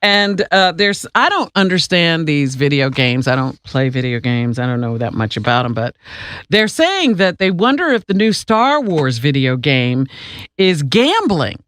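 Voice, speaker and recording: A woman's voice: low-pitched, very animated, a little slow, fairly bright tone, no echo. Lady doing radio news w/ casual banter, kinda wry and emphatic, super dry sound. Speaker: radio news reader and co-host.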